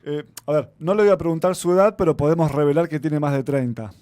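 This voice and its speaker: A man's voice: fairly high pitch, fast, dark tone, dry recording. Hesitant male host, thirties. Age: 30s. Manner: polite, hesitant, formal. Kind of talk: interview questions.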